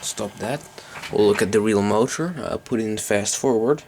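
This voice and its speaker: A man's voice: lowish voice, normal speed, darkish tone, a little hiss or hum. A man giving a casual, unscripted hands-on demonstration as an electronics hobbyist, hesitant and thinking aloud as he goes. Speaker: electronics hobbyist presenting a tape recorder.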